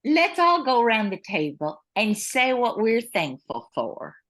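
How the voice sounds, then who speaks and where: A woman's voice: fairly high pitch, huge pitch swings, measured pace, fairly bright tone, exaggerated voice. A woman playing a plucky small-airline boss in an amateur radio comedy. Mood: grateful.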